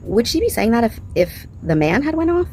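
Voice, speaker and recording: A woman's voice: a little high, very animated, fairly quick, darkish tone, soft background music. A woman hosting a reaction channel, speaking casually and with strong opinions, leaning on repetition and rhetorical questions. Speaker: commentary channel host reacting to clips.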